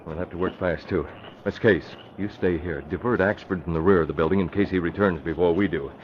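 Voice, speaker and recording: Guy acting calm serious hero giving orders, deep voice, muffled old radio adventure. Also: fairly quick, slight background noise, lightly acted. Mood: urgent, commanding. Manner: crisp orders, urgent but controlled. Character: decisive, commanding crime-fighting hero, composed and serious.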